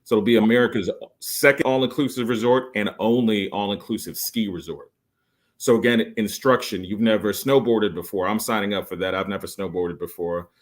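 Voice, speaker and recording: A man in his late forties, a resort sales guest on a podcast, giving an enthusiastic pitch full of fillers and restarts. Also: a little fast. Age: 40s. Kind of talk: podcast interview.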